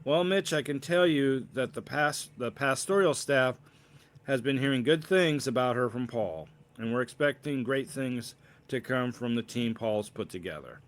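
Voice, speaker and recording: A man's voice: mid-range voice, normal speed, lightly acted. Polished, pompous young pastor played by a man. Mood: formal praise. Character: young male associate pastor, polished, slightly self-important.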